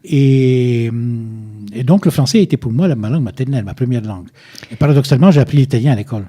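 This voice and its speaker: An older man from Egypt speaks into a studio microphone in a very dark, mellow voice. He halts and repeats words as he searches for them, then presses his point firmly. He sits a little back from the mic at times. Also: medium pitch, fast. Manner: hesitant, repeats words while searching, firm and insistent. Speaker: guest. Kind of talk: radio interview. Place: radio studio. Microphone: studio microphone, at times too far away.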